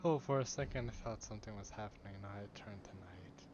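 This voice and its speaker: Man commenting over loud game music. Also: lowish voice, fast, dull audio. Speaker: gaming streamer. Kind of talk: casual gameplay commentary.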